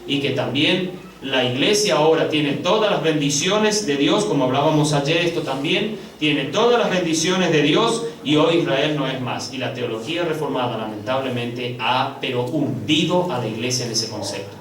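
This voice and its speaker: A man preaching a sermon to his congregation, calling them brothers and swinging from loud, high, drawn-out emphasis to hushed low passages. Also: animated, a little hiss or hum. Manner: addresses congregation as brothers, slow drawn-out stresses. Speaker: pastor. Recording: echoey room, picked up from a distance.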